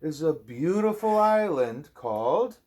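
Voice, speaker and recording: A man reading a storybook aloud to a child as a parent, expressively and with drawn-out phrases. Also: a little high, sing-song, darkish tone.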